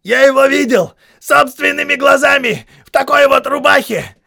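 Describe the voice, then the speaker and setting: A man voicing a foul-mouthed, groveling army sergeant who screams and pleads in a shrill, hoarse voice, in an audiobook. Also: moderate pace, bright, forceful tone, exaggerated voice. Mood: pleading. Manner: screaming, pleading, spitting insults.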